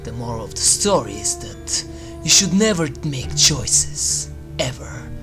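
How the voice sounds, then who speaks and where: A narrator reading a scripted list review with drawn-out, theatrical phrasing and dry humour, as a video host. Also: mid-range voice, very animated. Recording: music under the voice. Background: eerie game soundtrack music.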